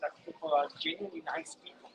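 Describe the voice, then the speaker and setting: A man's voice: a little high, very animated, fast talker, a bit bright, low-quality recording. A man from Israel with a South African accent tinted with Dutch, explaining earnestly and at length. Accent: South African with a Dutch tint. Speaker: guest, interviewee. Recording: outdoor recording with clear background noise.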